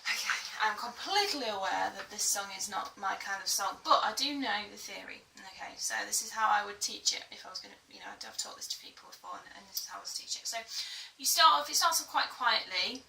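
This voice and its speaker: A woman's voice: mid-range voice, fast talker, vocal fry, few pauses, sharp tone, slight background noise. A British woman giving an informal singing tutorial, chatty and a little hesitant, with a self-deprecating way of talking. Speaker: singing teacher. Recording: echoey room, distant mic, music behind.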